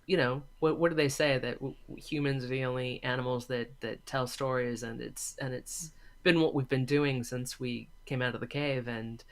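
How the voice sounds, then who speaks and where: A woman's voice: rumbling low, steady tone, moderate pace. A woman answering casually as an interview guest, stressing her points by repeating phrases. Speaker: interview guest, teacher of storytelling students.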